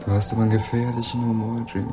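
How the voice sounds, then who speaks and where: An actor playing an insolent small-time hippie in a 1960s German radio crime drama, mocking, evasive and slangy. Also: male voice, lowish voice, level tone, fast talker, over music, close to an everyday voice. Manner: drawling, taunting, slangy.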